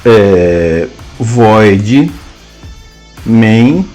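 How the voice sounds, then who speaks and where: A man with a Brazilian Portuguese accent teaching a programming lesson, dictating code symbol by symbol with hesitant pauses. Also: on the low side, lively intonation, warm tone, faint music behind. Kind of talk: slow screen-cast coding lesson, dictating code aloud.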